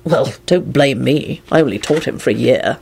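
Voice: mid-range voice, moderate pace, creaky, a little mellow, pitched below the performer's own voice, close to an everyday voice. A reader as a blunt, sardonic, irritable man. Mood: defensive. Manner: dry, blunt, sarcastic.

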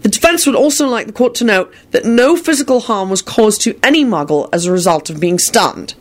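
A woman's voice: medium pitch, lively intonation, normal speed, pitched above the performer's own voice, close to an everyday voice. A woman reading the part of an earnest, determined young man making an emphatic plea in an audiobook. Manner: emphatic, vehement.

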